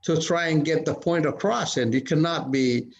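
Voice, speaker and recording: A man from Ethiopia speaking on a video-call panel, measured and halting with frequent restarts. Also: fairly high pitch, gravelly. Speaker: conference panelist. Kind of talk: casual panel discussion. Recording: dull lo-fi call audio.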